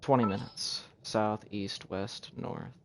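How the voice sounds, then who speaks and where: A man's voice: fairly low pitch, fairly even intonation, slow. A man streaming a game with casual, offhand commentary, often muttering to himself as he thinks things through. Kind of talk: casual live gameplay commentary. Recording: noisy live stream with game audio.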